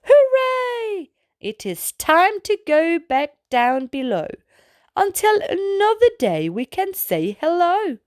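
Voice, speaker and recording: A woman reading a children's picture book aloud as a storytime host, in an expressive way with exclaimed sound-effect words. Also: very high voice, no echo, clean studio sound. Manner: slow, deliberate delivery for young children.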